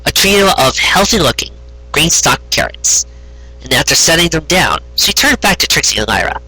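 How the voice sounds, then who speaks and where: An amateur storyteller narrates fiction in an expressive style. The voice is bright and husky, with animated swings in pitch. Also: normal speed, no room sound, poor audio.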